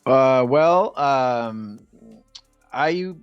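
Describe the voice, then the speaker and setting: A man's voice: medium pitch, lively intonation, drawn-out words, clear, resonant tone, no echo, highs cut off, faint music behind. A middle-aged man from Costa Rica, a native Spanish speaker with a Costa Rican accent, telling his own story casually as an interview guest. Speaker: podcast guest, Spanish teacher.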